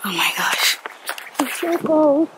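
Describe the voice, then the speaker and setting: A woman's voice: high voice, very animated, takes it slowly, noisy background. A young woman vlogging, chatting casually to a handheld camera as she hikes up a muddy trail and later talks at home. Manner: chatty, breathless, exclaiming. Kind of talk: casual vlog chat. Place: outdoors on a muddy hillside trail, then a car, then a bedroom at home.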